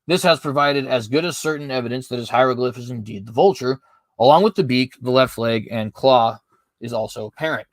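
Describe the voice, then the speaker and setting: Male host, mid-pitched voice, dry close recording, reads notes and corrects himself often. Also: no echo. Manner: reads prepared text, frequent fillers and self-corrections. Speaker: podcast host. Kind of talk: apologetics commentary reading notes.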